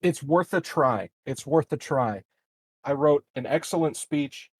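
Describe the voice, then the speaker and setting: A man's voice: on the high side, measured pace, slightly rough, dry recording. A man taking part in a tabletop roleplaying game as a player, bantering casually and deliberating aloud with some hesitation.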